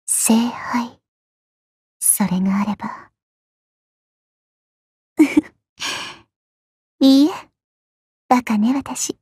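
A woman voicing a petite, haughty, teasing goddess for a game, with elegant, coy, ladylike speech and a soft, breathy tone. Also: on the high side, very slow, pauses often, no room sound, clean studio sound, heavily acted. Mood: aloof, playful. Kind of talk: Japanese mobile game character voice lines.

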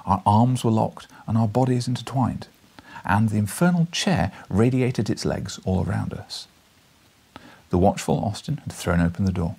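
A British man in his thirties reads and talks as a storyteller. His voice is lowish and husky, with lively swings in pitch. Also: moderate pace. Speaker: audiobook reader. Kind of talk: expressive story narration and casual address. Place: small book-lined living room, indoors.

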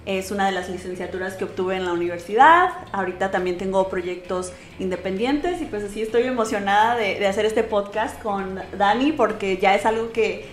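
A woman's voice: mid-range voice, a little fast, few pauses. Brief: young woman from Mexico, podcast co-host, casual and self-correcting, echoey room. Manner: chatty, self-correcting, thinks aloud. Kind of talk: casual conversation.

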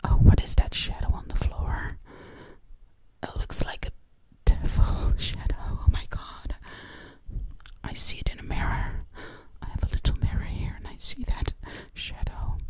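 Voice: measured pace, rough recording. A whispering ASMR roleplay voice, asking frightened questions to itself and repeating single words over and over. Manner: frightened, breathless questions to themselves.

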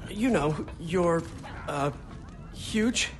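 An actor with an American accent playing a young, wisecracking, slightly awkward superhero in a video game cutscene. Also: male voice, on the high side, animated, very slow, background noise. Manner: quippy, hesitant asides. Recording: loud music behind.

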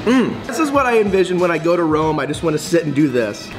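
A man in his forties vlogging in a bright coffee shop, excitable and gushing, laughing often as he tastes dishes. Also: fairly high pitch, fast, music in the background. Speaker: food vlogger. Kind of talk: casual food review chat. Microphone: phone camera at arm's length.

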